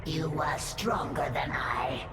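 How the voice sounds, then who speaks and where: A woman's voice: low voice, slow, very noisy. A woman playing a proud, warlike alien brood mother in a video game, speaking formally and solemnly with a layered creature effect. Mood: probing, impatient. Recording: echoing voice under loud music.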